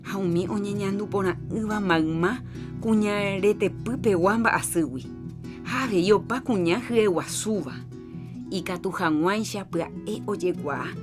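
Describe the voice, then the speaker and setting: A woman's voice: medium pitch, measured pace. Paraguayan woman reading a health announcement. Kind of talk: scripted public health announcement. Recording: music bed under voice.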